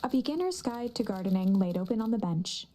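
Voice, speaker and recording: A woman playing a dramatic, playful storybook narrator in a video game, with a coy, deliberate delivery. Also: medium pitch, expressive, mellow, slight background noise, natural voice. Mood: storytelling. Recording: music under the voice. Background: guitar and instrumental music.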